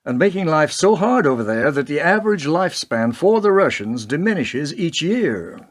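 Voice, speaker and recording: An actor playing a weary, troubled president in a radio play, speaking pensively and hesitantly. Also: male voice, a little high, sing-song, moderate pace. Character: weary, troubled American president questioning his own war.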